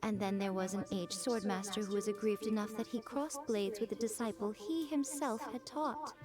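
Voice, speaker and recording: A woman's voice: mid-range voice, fairly even intonation, over music, lightly acted. A woman voicing a poised, mysterious noble shrine priestess in an anime game, speaking formally with wry amusement. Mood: wryly amused. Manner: formal, measured, wryly amused. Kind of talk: anime video game voice acting.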